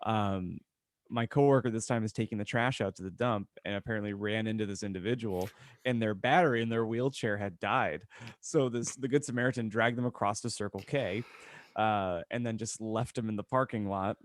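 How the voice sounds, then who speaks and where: A man co-hosting a podcast, telling a casual anecdote to friends in an animated, self-correcting way. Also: fairly low pitch, brisk, no room sound. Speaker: podcast co-host.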